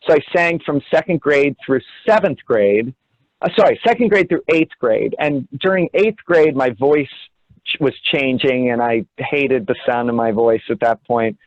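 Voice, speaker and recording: A young man from the Cincinnati area speaks over a video call, his voice at an average pitch for a man. He reminisces in a rambling, reflective way, often stopping to correct himself. The audio is dry and somewhat dull. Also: normal speed, dry recording. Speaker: guest, orchestra assistant conductor. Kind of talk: casual storytelling in an interview.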